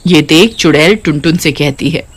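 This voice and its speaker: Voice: mid-range voice, moderate pace, breathy edge. A narrator reading a scripted cartoon story.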